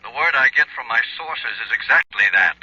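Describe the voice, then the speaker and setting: A man's voice: mid-range voice, expressive, fairly quick, sharp tone, breathy edge, full character voice. An actor playing a stern, self-righteous older congressman and father in a radio drama. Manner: commanding, blustering. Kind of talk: 1970s radio drama acting. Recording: dull old radio broadcast.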